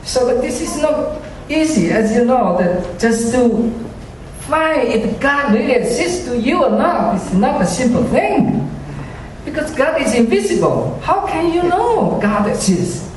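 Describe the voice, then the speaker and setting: Slow, high-voiced man in echoey hall. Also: big pitch swings, slight background noise. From China. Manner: hesitant, many fillers, chuckles often, self-deprecating. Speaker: speaker giving a personal faith testimony. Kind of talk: church testimony to a congregation. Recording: echoey hall, speaker far from mic.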